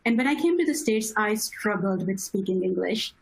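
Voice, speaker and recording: A woman with a Pakistani accent leads an online panel discussion. Her voice has a slightly husky, breathy quality. She speaks in a reflective, measured way and poses follow-up questions to the panelists. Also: mid-range voice, animated. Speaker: panel moderator.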